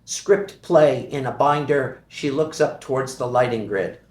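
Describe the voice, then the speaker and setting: A man reading the stage directions at a table read of a screenplay, in a measured, even way. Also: medium pitch, roomy sound.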